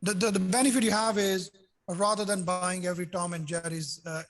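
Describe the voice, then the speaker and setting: Man, 60s, high voice, mixed Indian-French accent, eager video-call talker who restarts sentences. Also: steady tone, a little fast. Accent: Indian and French blend. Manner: enthusiastic, repeats "so basically", frequent restarts. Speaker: customer and software developer. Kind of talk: casual video call chat.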